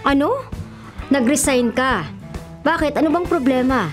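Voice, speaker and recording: A woman's voice: high voice, measured pace, faint music behind. An actress playing a candid, nagging woman giving concerned advice in a Filipino radio drama, questioning and admonishing. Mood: worried, scolding.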